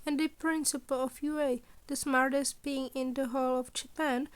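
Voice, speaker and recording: A woman's voice: high-pitched, steady tone, normal speed. A woman reading scripted fiction as the narrator of an amateur audio story. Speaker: podfic narrator.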